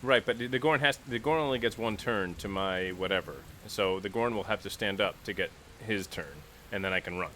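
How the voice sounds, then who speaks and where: A man's voice: lowish voice, a little fast, hardly any pauses, a bit bright. A man playing a tabletop game, chatting casually and thinking out his moves aloud with frequent restarts. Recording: clear background noise.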